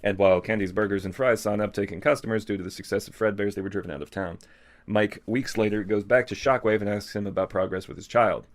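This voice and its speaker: Fast-talking man, low voice, dry sound. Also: fairly even intonation, no room sound. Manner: joking, sarcastic asides. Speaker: host reading a fan fiction aloud with commentary. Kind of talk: casual riffing while reading aloud.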